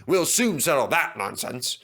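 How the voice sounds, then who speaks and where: A man's voice: a little high, very animated, sharp tone, heavily acted. A man voicing a stern, authoritative railway controller in a children's story, speaking in a commanding way. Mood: firm. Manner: commanding, deliberate.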